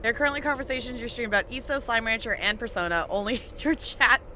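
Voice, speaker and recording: A woman chatting casually and animatedly on a game livestream, halting mid-thought as she goes. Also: fairly high pitch, pushed and bright, a little hiss or hum. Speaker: video game live streamer. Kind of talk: casual livestream chat over gameplay.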